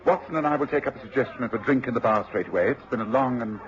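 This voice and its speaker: An actor playing a wry, urbane English detective with a British accent in a radio drama. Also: male voice, mid-range voice, very fast, muffled recording, crowd noise, close to an everyday voice. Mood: courteous. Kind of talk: mid-century radio drama acting.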